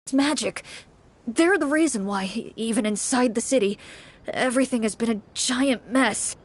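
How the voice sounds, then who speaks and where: A woman voicing a calm, knowledgeable duelist in a video game, speaking in a measured, formal and articulate way. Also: fairly high pitch, husky. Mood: grave, dramatic. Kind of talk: scripted video game voice acting. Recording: game audio with background music.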